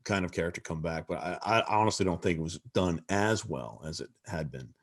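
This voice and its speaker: A man's voice: deep voice, brisk. A man on a panel, talking casually and eagerly over a video call, often trailing into asides.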